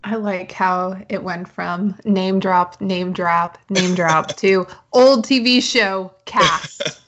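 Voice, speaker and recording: A woman's voice: medium pitch, slow pace, fairly bright tone, dull audio. A woman co-hosting a casual chat show, teasing and giggly. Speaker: podcast co-host.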